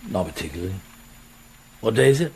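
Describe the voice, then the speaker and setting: A man's voice: sits low, big pitch swings, brisk, vocal fry, a little mellow, slight background noise, exaggerated voice, rough recording. A man playing a patient middle-aged police inspector in a radio drama, speaking in a hesitant, wry murmur. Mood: wry, mild. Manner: hesitant, murmuring, stammers mildly. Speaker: actor in the lead role. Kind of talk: naturalistic radio drama acting.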